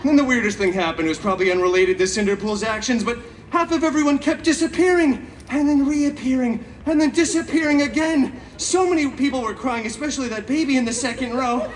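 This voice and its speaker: A man's voice: very high voice, brisk, few pauses. A man playing a chaotic, cheeky, vain masked antihero who tells a story theatrically to a live audience with sassy, mock-sweet asides. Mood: dramatic, smug. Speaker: theme park stage show performer. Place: theme park stage, recorded from the audience. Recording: amplified live show, distant, crowd noise.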